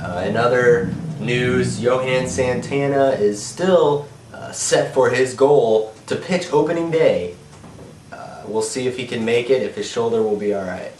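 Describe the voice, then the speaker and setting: A man with a cold and a nasal, stuffed-up voice, co-hosting a casual sports chat and reading the news at a steady pace. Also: mid-range voice, a little slow, a little hiss or hum. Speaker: podcast co-host. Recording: echoey room, mic at a distance.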